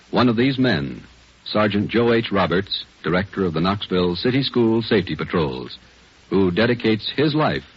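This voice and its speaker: A man as a 1940s radio announcer, reading a script in a solemn, formal way with a husky voice. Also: sits low. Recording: dull old radio recording with music.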